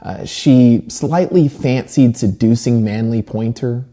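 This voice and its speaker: A man hosting a literature channel, narrating a scripted video essay with dramatic pauses and emphasis. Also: mid-range voice, dark tone. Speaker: host of a horror literature channel. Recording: music bed behind the voice. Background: background music.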